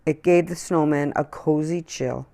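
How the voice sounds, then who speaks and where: Lady reading a picture book out loud, deep-ish voice, really slow pace, storytime style. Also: steady tone, creaky. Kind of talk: read-aloud children's story narration.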